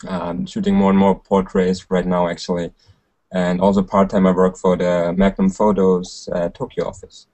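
A young man talks on a webcam call from a small room, relaxed and a little halting as he explains his work. His voice sits very low for his age. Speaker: panel guest, researcher. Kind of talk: casual video call chat.

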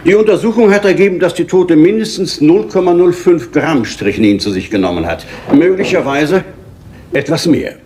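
A man's voice: a little high, lively intonation, normal speed, darkish tone, a little hiss or hum, natural voice. An actor playing a formal, matter-of-fact professional man in a radio murder mystery, speaking in a businesslike way. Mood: clinical, factual. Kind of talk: German detective radio drama acting.

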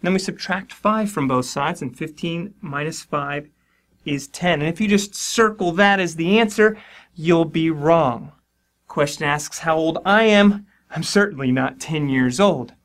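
A man in his forties teaches a math lesson at a board in a studio, talking in a slightly higher than usual voice. He explains each step plainly and slips in dry jokes at his own expense. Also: measured pace, fairly bright tone. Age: 40s. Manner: dry self-deprecating humor. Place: studio in front of a dark board.

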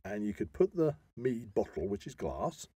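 A man's voice: lowish voice, big pitch swings, normal speed, warm tone, slightly rough, no echo. A man co-hosting a podcast in casual chat, talking animatedly and emphatically with rambling asides. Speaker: podcast co-host. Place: podcast studio.

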